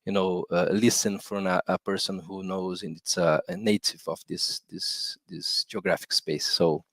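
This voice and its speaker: A man from Brazil speaking English on a video call panel, halting often and leaning on "you know". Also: sits low, fairly even intonation, fairly bright tone, no echo. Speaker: guest professor. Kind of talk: online panel talk.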